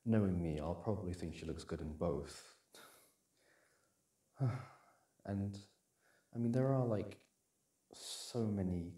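A young man performing casual ASMR boyfriend roleplay as an easygoing, affectionate partner, talking in a hesitant, halting way. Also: low voice, dark tone. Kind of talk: ASMR boyfriend audio roleplay, casual one-sided chat. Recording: clearly audible background noise.

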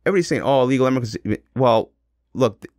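Fast-talking man, emphatic podcast talker. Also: mid-range voice, expressive, darkish tone, dry recording, studio quality. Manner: emphatic, repeats words and phrases. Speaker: podcast host. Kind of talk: casual opinionated chat.

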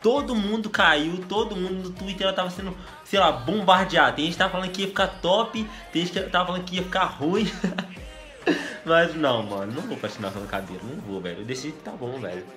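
Bright-voiced young man with a cold. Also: high voice, fairly quick, soft background music. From Brazil. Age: young adult. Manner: excitable, laughing, slangy. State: congested with a cold. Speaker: gaming youtuber. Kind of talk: casual vlog chat. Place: small room at home.

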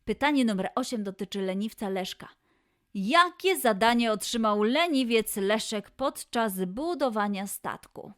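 A woman's voice: a little high, expressive, a little slow, a bit bright. A woman presenting a scripted quiz for children as host, announcing and addressing the young listeners directly. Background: music.

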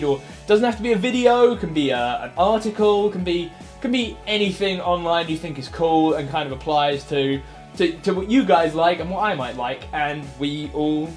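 A man in his thirties with a fairly high voice hosts a casual online show. He sounds animated and chatty, recommending things with enthusiasm. Music plays behind him. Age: 30s. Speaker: host of an online video show. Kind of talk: casual upbeat recommendations to camera. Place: small furnished room indoors. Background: background music.

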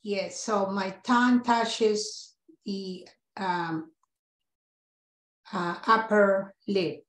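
A woman's voice: mid-range voice, steady tone, drawn-out words. A woman whose first language is Spanish, explaining how she says a sound on a class video call. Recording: echoey room on a video call.

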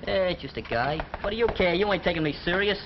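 An actor playing a brash young street kid who dreams of becoming a jockey, talking tough slang in 1940s film style. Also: male voice, high-pitched, fast talker. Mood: boastful. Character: cocky street kid set on being a jockey. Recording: dull old film soundtrack with hiss.

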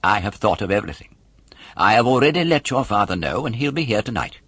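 A man voicing a kindly young female fairy from a storybook, sisterly, gentle and reassuring. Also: lowish voice, big pitch swings, quick pace, slightly rough, full character voice.

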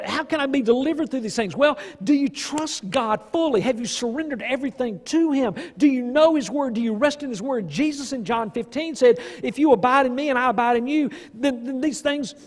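A man preaching a sermon in an emphatic, folksy way, pausing for weight and asking rhetorical questions. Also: extremely high pitch. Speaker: pastor. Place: church sanctuary stage at a lectern. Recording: long reverb of a church hall.

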